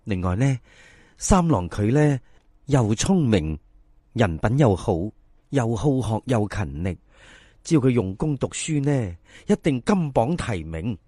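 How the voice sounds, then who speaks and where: A man's voice: mid-range voice, very animated, mellow, slightly rough, dry recording, voice pushed down. A man voicing a humble, resolute young woman in Cantonese, speaking politely and sincerely in a dramatised folk story. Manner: polite, measured, pleading sincerity.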